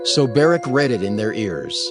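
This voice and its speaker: Low-voiced male scripture narrator over music. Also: expressive, moderate pace. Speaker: audiobook narrator. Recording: prominent music bed under narration.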